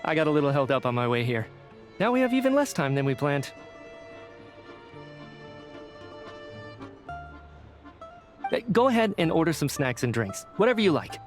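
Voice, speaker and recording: A man's voice: fairly high pitch, sing-song, fast, soft background music. An actor playing a busy, eager male theater fan in a video game, polite, earnest and slightly flustered. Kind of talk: video game character dialogue.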